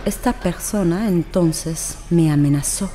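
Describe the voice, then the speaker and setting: An actress playing a mistreated young French prisoner who gives earnest, measured testimony in an audio drama. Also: female voice, on the low side, mellow, lightly acted. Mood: aggrieved, recounting.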